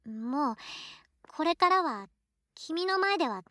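A woman playing a shy, affectionate young student, a boy turned into a girl, talking softly to a close friend in a voice drama. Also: sits high, animated, takes it slowly, no echo, heavily acted. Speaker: voice actor in a situation voice drama. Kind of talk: Japanese situation voice drama acting, intimate one-sided dialogue.

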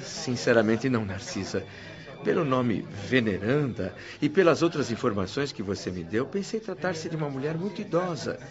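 A man's voice: mid-range voice, very animated, normal speed, dull audio, crowd noise, lightly acted. A Brazilian actor playing an earnest, curious man new to the spirit world in a radio drama, speaking in a formal, reflective way. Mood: admiring. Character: André, curious, earnest, eager male newcomer to spirit world.

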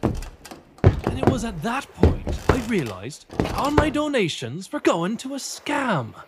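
A man's voice: very high-pitched, huge pitch swings, slow pace, very noisy, heavily acted. A man voicing a hapless sailor who shrugs off grim memories of a shipwreck. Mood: rueful. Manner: offhand, matter-of-fact about hardship.

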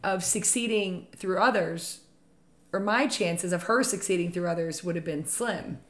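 A woman with a slightly raspy voice, a real estate leader chatting enthusiastically in a podcast conversation. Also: fairly low pitch, normal speed, fairly bright tone.

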